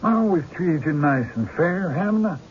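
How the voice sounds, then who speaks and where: A man's voice: a little high, expressive, normal speed, natural voice. An actor playing a decent, folksy country man with neighbourly talk in an old-time radio drama. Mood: friendly, generous. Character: George, decent, easygoing country man owed money. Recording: noisy, dull lo-fi radio recording.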